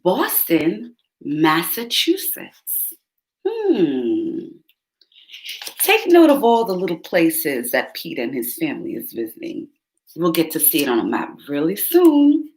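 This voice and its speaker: A woman's voice: on the low side, huge pitch swings, slow pace. A woman reading a picture book aloud to young children, turning to ask her listeners questions along the way. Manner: speaks directly to young listeners, asks them questions. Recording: roomy echo.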